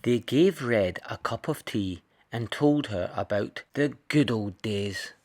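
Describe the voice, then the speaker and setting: Guy reading a kids story out loud, takes his time, very up and down intonation. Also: on the low side, expressive, takes it slowly. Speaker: storybook reader. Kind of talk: expressive children's story narration.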